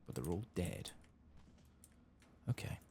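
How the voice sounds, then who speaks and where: A man giving soft-spoken ASMR commentary on a video game, unhurried and often slipping into a breathy whisper. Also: sits low, very animated, slight background noise.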